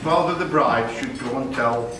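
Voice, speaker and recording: A man's voice: mid-range voice, normal speed. Older father of the bride, echoey and distant. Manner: jokey, rambling, self-correcting. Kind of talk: wedding speech. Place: wedding reception hall. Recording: echoey hall from far off, noisy.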